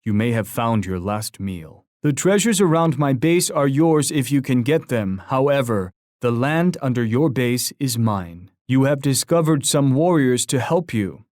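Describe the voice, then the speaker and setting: A man's voice: mid-range voice, animated, measured pace, hardly any pauses, a little mellow, no echo, natural voice. Guy doing a proud stern warrior chief for a strategy game, solemn boastful taunts, very formal, dry studio sound. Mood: scornful, boastful, then wry, scornful. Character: proud, stern Iroquois war leader, poetic and threatening. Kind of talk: video game character taunt lines.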